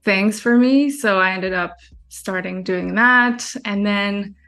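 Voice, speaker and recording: A woman answers interview questions about her filmmaking work. She talks in a loose, chatty way, restarting sentences and laughing easily, at a middle pitch with faint music behind her. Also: slow pace, a bit bright, clear, resonant tone. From France. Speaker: documentary filmmaker, guest.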